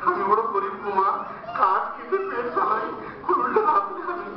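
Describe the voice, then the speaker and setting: A man's voice: very high voice, very animated, brisk. A man playing a poor, honest village woodcutter in a comic folk-tale drama, humble and exclamatory, with a plaintive theatrical delivery. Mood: tearful pleading. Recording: very echoey, distant, dull, noisy recording.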